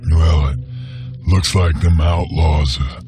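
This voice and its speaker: Game bounty hunter: gruff cowboy drawl, extremely low, unhurried delivery. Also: male voice, fairly even intonation. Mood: musing. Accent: American Western drawl. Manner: slow, terse, menacing. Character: gruff, laconic male bounty hunter gunslinger of the Old West. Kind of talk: western video game acting. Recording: music behind the voice.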